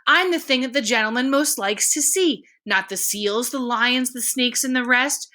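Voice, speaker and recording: A woman's voice: on the high side, moderate pace, bright, forceful tone. A woman voicing an eager, boastful male emu from a children's storybook, exclamatory and sure of himself. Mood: amazed, proud.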